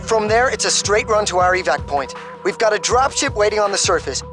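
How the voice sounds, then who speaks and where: A man's voice: high voice, animated, a little fast. A man playing a no-nonsense rescue commando in a video game, talking in clipped military phrases. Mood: urgent, businesslike. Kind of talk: video game cutscene acting. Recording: music behind.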